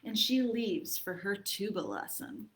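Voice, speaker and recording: A woman hosting a library storytime for children, expressive, putting questions to her young listeners as she narrates. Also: mid-range voice, unhurried, low-quality recording.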